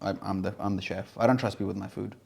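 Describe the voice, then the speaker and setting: A man's voice: low voice, steady tone, quick pace, gravelly, a little mellow, slight background noise. A man who grew up in Indonesia, with Urdu as his first language, hosting a casual podcast chat in a loose, jokey, slangy way with the odd swear word. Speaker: podcast host.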